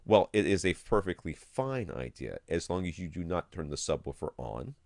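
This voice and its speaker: Low-pitched, animated man in casual tech chat. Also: moderate pace, gravelly, no echo. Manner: blunt, emphatic, jokey. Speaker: podcast co-host. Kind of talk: casual technical chat, answering listener questions.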